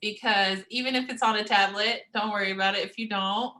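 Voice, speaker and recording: Book club host, woman, bright voice, informal, on a video call. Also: mid-range voice, fairly even intonation, a little fast. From United States. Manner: friendly, informal, hands the turn around. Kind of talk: casual video call chat.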